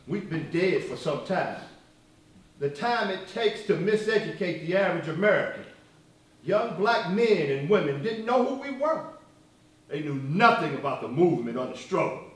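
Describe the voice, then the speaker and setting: The fiery, biting movement leader you'd hear from the back row of a cavernous theatre, played by a male actor, his high, sweeping oratory ringing off the walls. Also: animated, normal speed, pauses often, close to an everyday voice. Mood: bitter, haunted. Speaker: stage actor. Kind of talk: one-act stage play dialogue. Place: live theatre performance, recorded from far off. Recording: distant, very echoey stage hall.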